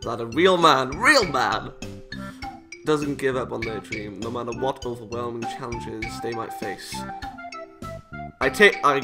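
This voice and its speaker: A man's voice: mid-range voice, a little slow, pauses often. A British man hosting a gaming video, chatting casually and reading visual novel text aloud with playful, self-interrupting asides. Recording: music playing behind the voice.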